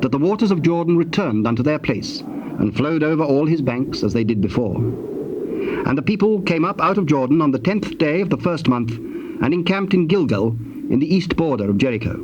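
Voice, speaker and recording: A man with a British accent narrating scripture in a solemn, formal, deliberate way. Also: a little high, lively intonation. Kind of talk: scripted dramatic Bible narration. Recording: dull, noisy old cassette tape.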